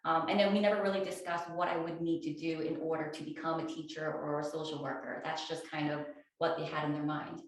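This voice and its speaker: A woman in her forties from New Jersey answers interview questions about her work as a detective. She speaks plainly and matter-of-factly, with a few fillers, and her voice sits a little low. The sound is very echoey, picked up by a small clip-on mic in a bare room. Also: flat delivery, fairly quick. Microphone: small clip-on mic shared between speakers.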